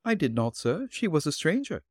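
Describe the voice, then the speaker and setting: A man voicing an elderly, refined and gentle landlady in a raised, polite voice. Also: very animated, normal speed, no room sound, studio quality. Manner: polite, flustered, high put-on voice. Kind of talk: audiobook character reading.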